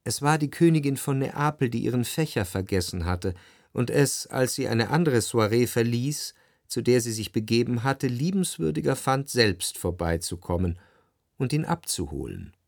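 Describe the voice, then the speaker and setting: A man's voice: mid-range voice, animated, moderate pace, creaky, a little mellow, no echo. Reflective male narrator, close and dry. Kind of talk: scripted literary narration in radio drama.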